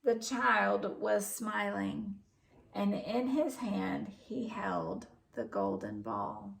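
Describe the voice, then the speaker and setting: A woman reading a children's story aloud as an expressive storyteller. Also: mid-range voice, very slow, creaky, slight background noise. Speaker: storybook reader. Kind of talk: scripted narration, children's story read aloud.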